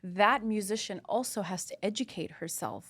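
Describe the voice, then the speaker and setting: Female interview host, chatty and encouraging, with a bright, forward voice at a medium pitch. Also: moderate pace. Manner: chatty, encouraging, restarts sentences. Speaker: podcast host. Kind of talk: casual interview chat.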